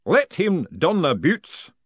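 A man voicing a pompous unseen lodge master in an audiobook, booming and intoning ritual lines slowly and solemnly. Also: big pitch swings, fairly bright tone, husky, no echo, muffled recording, full character voice. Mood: solemn, commanding.

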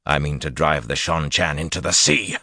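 A man's voice: extremely low pitch, moderate pace, no echo, studio quality, heavily acted. A man voicing a driven, angry male commander who snaps defiantly in an audiobook. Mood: snapping. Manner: snapping, forceful delivery.

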